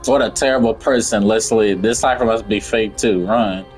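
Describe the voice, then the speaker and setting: A man's voice: fairly low pitch, normal speed, soft background music, low-quality recording. A man giving casual gameplay commentary in a wry, slangy, informal way. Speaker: gamer reacting to a game's story.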